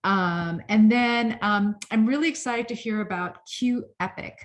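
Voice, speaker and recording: A woman hosting an academic seminar, introducing a guest speaker in a halting way with frequent pauses and ums. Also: medium pitch.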